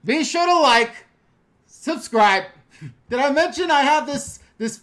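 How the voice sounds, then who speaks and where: A man's voice: very high voice, lively intonation, takes it slowly, fairly bright tone. A middle-aged man from the New York and New Jersey area, hosting a casual live-stream Q&A and recording on a GoPro camera. He is chatty and jokes a lot, often laughing as he reads out viewer questions. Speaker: host answering viewer questions.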